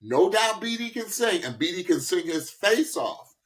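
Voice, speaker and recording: A man speaks as a podcast host in a loose, informal style. His pitch moves up and down a lot as he gives opinions, and he leans on repeated words to press his point. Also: moderate pace. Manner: animated, emphatic, informal, repeats words for emphasis. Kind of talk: casual reaction podcast talk, giving opinions.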